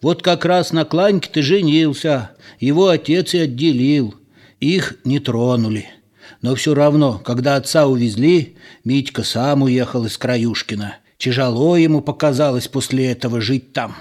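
A man's voice: mid-range voice, normal speed, a little mellow, natural voice. A man voicing a tipsy old village man in an audiobook, argumentative and reminiscing in rustic colloquial speech.

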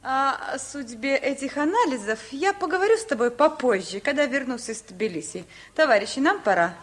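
A proud young village woman, very high in pitch with swooping intonation. She is drily teasing and independent, in old radio comedy style. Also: animated, brisk, background noise. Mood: brisk, cool. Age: young adult. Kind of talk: 1950s Soviet radio comedy acting.

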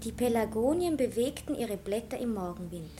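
A woman's voice: fairly high pitch, expressive, normal speed, dark tone, faint music behind, lightly acted. A woman playing an eager, playful young child in a radio drama, telling things in a simple storytelling manner. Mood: curious, wondering. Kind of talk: German radio drama acting.

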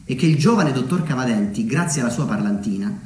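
A man's voice: mid-range voice, lively intonation, fast, darkish tone. Echoey male theatre storyteller. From Umbria, Italy. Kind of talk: theatrical narrative monologue. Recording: roomy echo with background noise.

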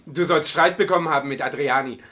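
Dry, casual student friend. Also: male voice, fairly high pitch, fast, fairly bright tone. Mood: curious, dry. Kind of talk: German radio play acting.

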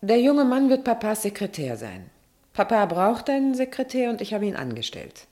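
An actress playing a middle-aged aristocratic mother, dignified and gently reproachful, in a radio drama. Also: medium pitch, big pitch swings, moderate pace, lightly acted. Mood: reproving. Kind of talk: German radio drama acting.

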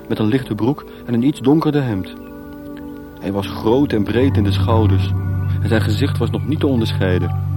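A man narrating a radio play as scripted, dramatic storytelling. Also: mid-range voice. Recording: music bed behind. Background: music.

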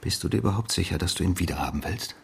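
Enigmatic stranger posing as a husband, low gravelly voice, calm, controlled, provocative, radio drama. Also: male voice, rapid-fire, a little hiss or hum. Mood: cool, probing.

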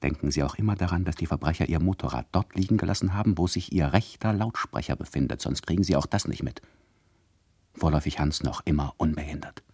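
An actor playing a mocking, bossy narrator of a satirical radio play, addressing listeners with mock solemnity and condescension. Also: male voice, a bass voice, quick pace, darkish tone, breathy edge, no room sound, full character voice. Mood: confiding.

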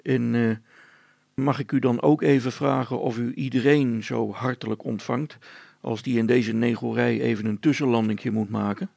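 A man voicing a calm helicopter pilot for a storybook, polite, patient and gently ironic. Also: medium pitch, moderate pace, gravelly, no room sound. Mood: politely ironic. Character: calm, courteous, cheeky male helicopter pilot.

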